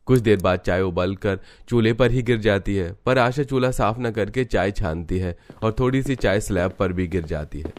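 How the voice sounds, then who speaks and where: A man narrating a cartoon story in a steady, scripted storytelling manner. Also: on the low side.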